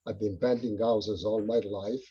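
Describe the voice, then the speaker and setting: A man's voice: on the low side, steady tone, measured pace, dark tone, husky. Earnest Italian-accented man, muffled audio. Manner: earnest, rambling, with many uh and um fillers. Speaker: local butterfly group organizer. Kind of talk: casual Q and A on a video call.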